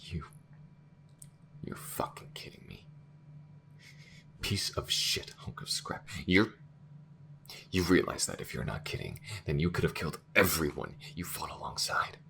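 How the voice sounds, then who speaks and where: Casting: male actor as a bitter alien crewmate for sci-fi audio drama, extremely low register, expressive swings, blunt delivery. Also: moderate pace, gravelly, a little hiss or hum, full character voice. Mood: furious disbelief. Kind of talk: ASMR-style scripted sci-fi roleplay acting.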